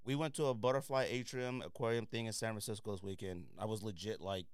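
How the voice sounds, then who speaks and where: A man's voice: fairly low pitch, fairly even intonation, fast talker, smooth, dry recording, studio quality. A man hosting a podcast, giving casual sneaker reviews in an opinionated, slangy way and repeating points for emphasis. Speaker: podcast host.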